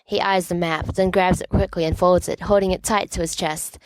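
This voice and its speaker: A woman's voice: lowish voice, steady tone, fairly quick, a little mellow, dry recording, rough recording. A woman reading a scripted story aloud as an amateur audiobook narrator.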